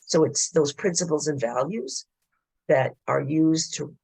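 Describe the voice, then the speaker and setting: A man who is losing his voice gives a formal, explanatory presentation at a board meeting. His delivery is halting, with frequent hesitations. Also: on the high side, vocal fry, a little mellow, husky, no echo, poor audio. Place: public board meeting room.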